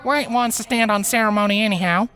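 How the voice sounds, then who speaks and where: A man's voice: high-pitched, steady tone, fast talker, bright, forceful tone, faint music behind, pitched above the performer's own voice. Man doing a folksy Southern pony mare spirit. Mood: easygoing. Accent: Southern US drawl. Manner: folksy, plainspoken.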